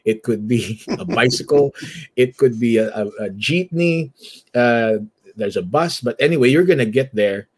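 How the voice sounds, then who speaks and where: A man from the Philippines with a Filipino accent and a husky voice, telling his story conversationally as an interview guest. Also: mid-range voice, lively intonation, moderate pace. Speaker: church elder and guest.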